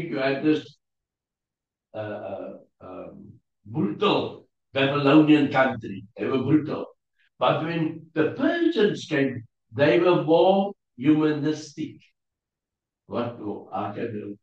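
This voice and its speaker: A man with an Afrikaans accent, whose first language is Afrikaans, teaching a Bible class in a halting way, often pausing to search for English words. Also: mid-range voice. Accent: South African Afrikaans. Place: church hall. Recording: distant, roomy, dull lo-fi sound.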